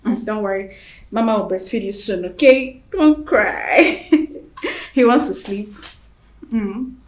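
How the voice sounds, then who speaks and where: A woman's voice: mid-range voice, slow, echoey room, lightly acted. An actress playing a young mother who laughs and coos as she soothes her crying baby. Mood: tender.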